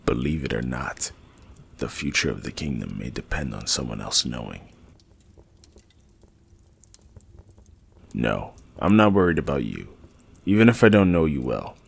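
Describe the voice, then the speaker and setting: A man playing a learned, guarded older mage in a fantasy audio drama, speaking formally and deliberately in a gravelly, husky voice. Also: a bass voice, big pitch swings, exaggerated voice. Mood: grave, confiding. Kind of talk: fantasy audio roleplay acting.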